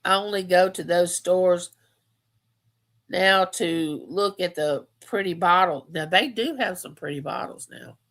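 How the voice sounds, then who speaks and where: A woman's voice: on the low side, measured pace, fairly bright tone. A woman, hosting a casual live chat and telling stories, repeating phrases for emphasis. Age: 50s. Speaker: livestream host.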